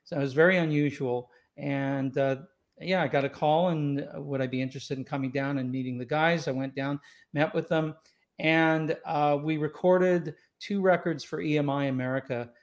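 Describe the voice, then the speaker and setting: A man's voice: on the high side, steady tone, moderate pace. A man in his sixties, born in Scotland and raised in Los Angeles, telling stories as a musician guest in an easygoing, reflective way, with plenty of fillers and restarts. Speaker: saxophonist guest. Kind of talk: relaxed storytelling interview. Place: home room with wooden doors and shelves. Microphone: studio mic on boom arm, video call.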